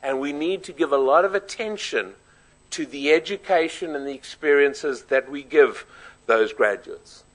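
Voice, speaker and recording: A man with a South African accent giving a formal conference speech, measured and emphatic, laying out his points in order. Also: medium pitch, a bit bright.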